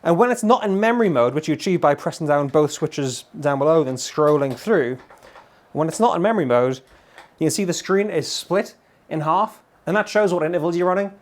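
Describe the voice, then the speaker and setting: A man's voice: on the high side, lively intonation, a little fast. A man in his thirties with a British accent, giving a chatty, enthusiastic, informal gear demonstration. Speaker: guitar gear reviewer. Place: small home studio full of guitars and amps. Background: electric guitar playing.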